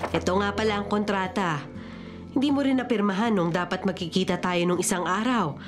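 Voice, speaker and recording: A woman's voice: mid-range voice, brisk, lightly acted. An actress playing a chatty, wary landlady in a Filipino radio drama. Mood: wary, businesslike. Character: chatty, wary landlady handing over an apartment. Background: dramatic music.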